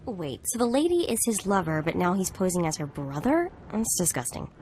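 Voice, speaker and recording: Brief: a snarky game sidekick, lively pitch, wry and blunt. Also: female voice, a little fast, slight background noise. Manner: wry, sardonic asides. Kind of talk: video game cutscene acting.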